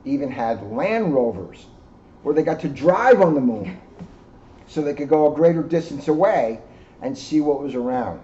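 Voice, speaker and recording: A man in his sixties, a police officer reading aloud to children and commenting informally in an earnest, expressive way. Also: medium pitch. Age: 60s. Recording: muffled, noisy amateur video sound.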